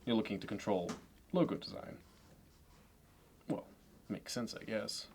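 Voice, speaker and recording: Male actor for courteous, fastidious Manhattan executive in ASMR roleplay; lowish pitch, unhurried, formal; some noise on the recording. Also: lively intonation, vocal fry. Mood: measured, businesslike. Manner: measured, halting, meticulously appraising, politely formal. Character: polished, courteous, obsessively appraising male Manhattan finance executive.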